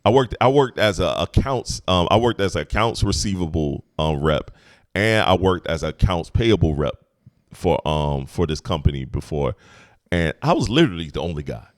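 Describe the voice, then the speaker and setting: A man in his thirties with a deep voice, hosting a podcast in relaxed, agreeable casual chat. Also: moderate pace, dry recording, clean studio sound. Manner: relaxed, agreeable, frequent "mm-hmm" and "right". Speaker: podcast host.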